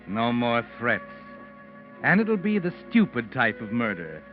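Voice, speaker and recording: An actor playing a sinister, all-knowing narrator of a 1940s radio mystery, insinuating and deliberate as he taunts the culprit. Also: medium pitch, close to an everyday voice. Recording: dull old radio recording, music behind.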